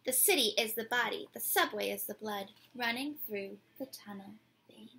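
A woman in her twenties doing an expressive, theatrical picture-book read-aloud for children, drawing out words and putting questions to her young listeners. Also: on the high side, normal speed, slight background noise, poor audio. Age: 20s. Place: small decorated room indoors.

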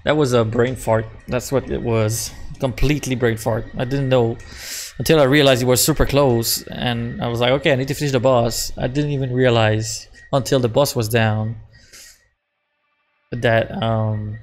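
A man's voice: mid-range voice, fairly even intonation, moderate pace, slight background noise. A man in his thirties, a native French speaker with a Québécois accent, casually chatting and laughing at himself while streaming a game from a small room at home. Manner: rambling, self-mocking, chuckles often, repeats words. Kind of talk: casual live-stream commentary.

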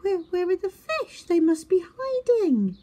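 Woman does a tiny, sweet, dim young owl for a storybook; squeaky and high, always asking questions. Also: a little slow, exaggerated voice. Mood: eager. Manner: excited high-pitched questions. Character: small kind curious scatterbrained boy owl.

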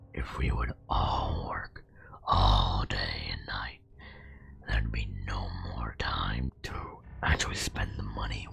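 A man playing a chatty, teasing nightmare spirit in a casual, gently scolding whispered ASMR roleplay. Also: rumbling low, creaky, full character voice. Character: chatty, teasing male nightmare spirit nagging a sleepless worker.

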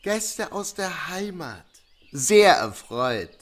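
An actor playing a courteous, smooth-talking male hotel owner in a crime audio drama, suave and effusively polite. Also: sits high, big pitch swings, drawn-out words, exaggerated voice. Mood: suave, welcoming.

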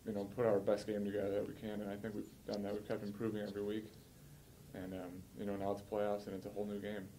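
A man in his early twenties, a college football player giving measured, matter-of-fact answers at a post-game press conference. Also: lowish voice, completely flat delivery, dark tone, slight background noise, poor audio. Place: indoor press room, seated at a table.

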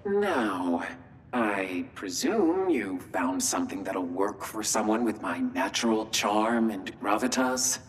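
A low-pitched, sardonic man with theatrical self-importance in a video game. His lines ring with long reverb over a low rumbling noise. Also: very animated, slow pace, heavily acted. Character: wry, self-important man facing transfer into a machine. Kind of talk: video game dialogue acting.

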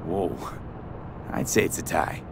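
Low-voiced wry mercenary, vehicle noise. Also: male voice, fairly even intonation, a little slow. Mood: playful. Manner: teasing, slangy. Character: wry, streetwise young mercenary man. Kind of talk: video game voice acting, casual banter. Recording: clear vehicle and engine noise.

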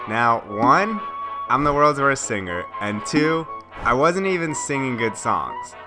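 A man's voice: mid-range voice, very animated, measured pace. A young man narrating a casual travel vlog in an upbeat way, with music playing behind him. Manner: upbeat, breaks into goofy made-up singing. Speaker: travel vlogger. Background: background music.

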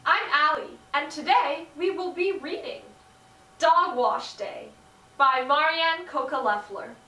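A young woman hosting for children, presenting to camera with animated delivery, recorded a little way from the microphone in a room. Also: high voice, slow. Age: young adult. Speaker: children's channel host. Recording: roomy, slightly distant microphone.

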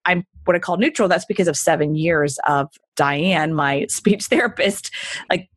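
A woman's voice: on the low side, moderate pace, no room sound, clean studio sound. A woman in her forties from Texas with a neutral accent, telling her story in a casual interview and laughing often. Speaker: guest entrepreneur.

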